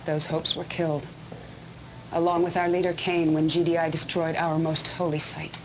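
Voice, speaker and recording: Stern commander, firm, lowish voice, noise in the background. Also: female voice, level tone, normal speed, low-quality recording. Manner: firm, commanding speech-giving. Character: stern female military commander. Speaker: commander and new leader.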